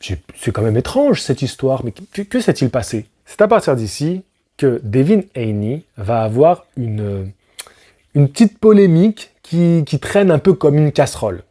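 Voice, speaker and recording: A man's voice: medium pitch, sing-song, moderate pace, mellow. A man hosting a casual boxing analysis, talking straight to the viewer with slangy asides and rhetorical questions. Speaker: boxing channel host.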